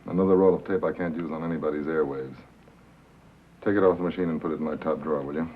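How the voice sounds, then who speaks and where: An actor playing a wry, worldly, cynical radio reporter with a terse, dry delivery in a 1950s film drama. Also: male voice, a bass voice, fairly even intonation, fast talker. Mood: sardonic. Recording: narrow-band, hissy 1950s film soundtrack.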